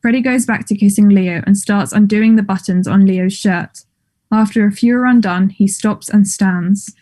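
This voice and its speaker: A woman reading stage directions as scripted narration in a measured, neutral manner. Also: mid-range voice, mellow.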